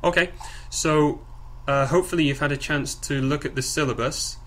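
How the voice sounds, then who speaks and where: A man informally presenting to a group on a conference call, haltingly and with repeated words and phrases. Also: on the high side, steady tone, fairly bright tone. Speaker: project coordinator leading a group call. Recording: clearly noisy conference call recording.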